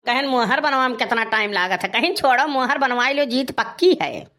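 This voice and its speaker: A man's voice: extremely high pitch, expressive, normal speed, bright, forceful tone, heavily acted. A man playing an aggrieved, boastful village husband in a cartoon comedy, grumbling and scolding with a rustic eastern Uttar Pradesh accent. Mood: rueful grumbling. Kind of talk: Awadhi cartoon comedy dialogue.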